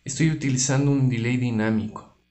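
A man giving an informal guitar effects tutorial, explaining things in a halting way with restarts. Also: medium pitch, steady tone, mellow. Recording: roomy, reverberant room sound. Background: electric guitar playing.

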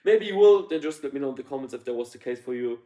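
A man's voice: mid-range voice, expressive, quick pace, dark tone. A young man in his twenties giving casual business advice to camera from a sofa, blunt, swearing, full of fillers and restarts. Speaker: business consultant. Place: living room, on a sofa indoors. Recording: echoey room.

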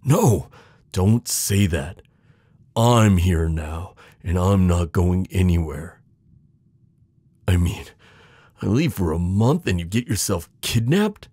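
A man playing a devoted, protective knight in an intimate fantasy romance audio roleplay, urgent and pleading with hushed reassurance. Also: sits low, expressive, takes it slowly, pauses often, husky, no room sound, exaggerated voice. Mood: alarmed, fierce. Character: devoted protective male knight, desperate, tender lover.

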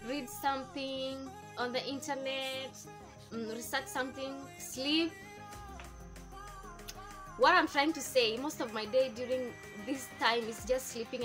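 Kenyan girl in her twenties vlogging, high voice, music playing behind. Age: 20s. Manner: chatty, repeats herself, answers questions one by one. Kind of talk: casual chat to camera. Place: indoors in front of a patterned backdrop. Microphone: camera facing her at close range.

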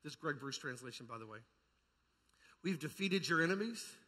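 Male pastor, forceful bright delivery, fervent evangelical preaching with emphatic repetition, amplified in a long-reverb church hall. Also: fairly high pitch, expressive, brisk. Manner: puts rhetorical questions to the congregation. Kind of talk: charismatic evangelical sermon. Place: large indoor church hall.

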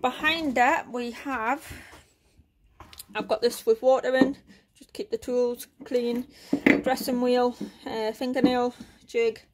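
A woman's voice: on the high side, slow pace, very noisy. A woman from the UK giving a casual tour of her small shed workshop, listing tools with lots of fillers while filming on a handheld phone. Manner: relaxed, listing items with frequent fillers. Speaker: woodworking vlogger.

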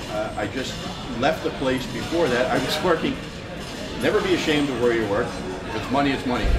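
A man in his fifties giving a casual, self-deprecating talk at a meetup, laughing often as he tells stories. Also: mid-range voice. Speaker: guest speaker. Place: meetup room in a store, in front of a screen. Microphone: far camera. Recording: echoey room with loud ambience.

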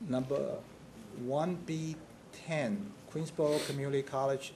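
A man chairing a board meeting, calling votes and items in brisk procedural phrases. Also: medium pitch, steady tone. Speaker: committee chair. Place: large conference room. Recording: noisy meeting room.

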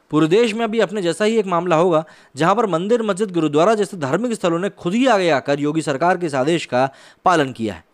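A man in his thirties from India presenting explanatory news commentary as a host, speaking into a close studio microphone. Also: high-pitched. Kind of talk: news explainer commentary, part conversational, part scripted narration. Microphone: close desk microphone on a boom arm.

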